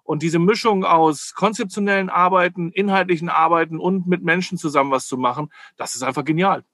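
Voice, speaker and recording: A man from Hamburg, a podcast guest who talks hesitantly and fills his pauses with many "äh" sounds. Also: sits high, fairly bright tone, no room sound. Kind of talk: casual chat.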